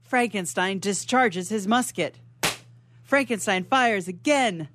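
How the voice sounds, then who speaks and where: A woman narrating stage directions for a radio play in a deliberate, dramatic scripted style. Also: medium pitch, background noise.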